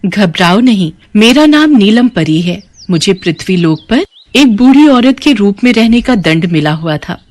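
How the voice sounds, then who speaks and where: A woman's voice: lowish voice, darkish tone. A woman voicing a benevolent female fairy in a storybook tale, gracious and reassuring.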